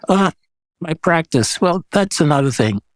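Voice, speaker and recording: A man's voice: a little high, animated, normal speed, no echo. An older man talks as an interview guest. His delivery is casual and reflective, like someone recounting his own life, with frequent conversational asides. The sound is dry, with little room in it. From Guyana. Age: 70s. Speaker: guest, visual artist.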